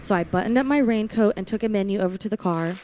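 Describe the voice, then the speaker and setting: A woman's voice: mid-range voice, fast talker, darkish tone, a little hiss or hum, close to an everyday voice. A woman playing a young, lonely drive-in waitress in a 1940s-style radio play, confiding and wary. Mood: wistful, confiding. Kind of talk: 1940s radio play acting, first-person narration.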